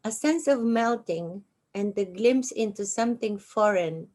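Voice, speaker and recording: A woman in her sixties with a Bulgarian accent, host of her own show, reading her memoir aloud slowly and deliberately with dry humor. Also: mid-range voice, a little mellow. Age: 60s. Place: at home on a couch.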